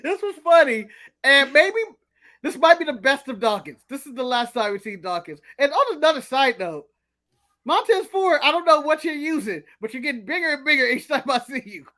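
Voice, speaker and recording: A man's voice: very high-pitched, sing-song, a little fast, bright, forceful tone. A man from the United States co-hosting a casual chat show, talking eagerly and repeating his points for emphasis. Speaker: podcast co-host.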